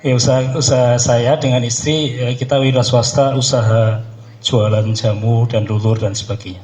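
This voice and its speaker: A man's voice: mid-range voice, fairly even intonation, moderate pace, a little mellow. A man in his forties with a Javanese Indonesian accent gives an informal self-introduction to a gathering. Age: 40s. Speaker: participant introducing himself to a group. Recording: echoey room.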